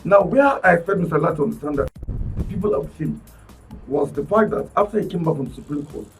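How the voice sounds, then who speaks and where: A man with a Nigerian accent, arguing politics on a radio talk panel in an animated, emphatic way, often restarting his words. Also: on the high side, quick pace, recorded from a distance, soft background music. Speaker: political commentator guest. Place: radio studio.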